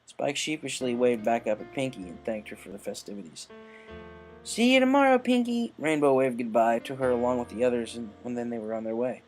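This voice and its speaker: A man's voice: medium pitch, steady tone, fast, hardly any pauses, darkish tone, soft background music, poor audio. A man reading a dramatic fan-fiction story aloud as its scripted narrator.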